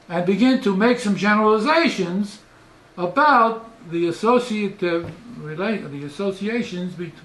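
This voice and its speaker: An older man, a professor, gives an animated, lecture-style philosophical talk into a headset mic in a small study, often trailing off into quieter asides. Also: high-pitched, roomy sound, poor audio. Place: small study with bookshelves, indoors.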